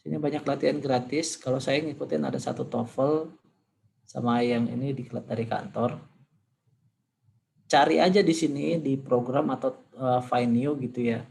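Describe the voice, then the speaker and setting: A man teaching a class, hoarse today, talking informally and thinking aloud as he demonstrates on screen. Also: medium pitch, normal speed, a little mellow, a little hiss or hum, poor audio. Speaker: lecturer.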